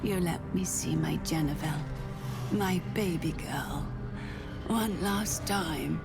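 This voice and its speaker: Creaky, low frail loving mother. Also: takes it slowly, loud music behind the voice, exaggerated voice. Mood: tearfully grateful. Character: frail, devout older mother, tender and weary. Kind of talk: dramatic video game acting.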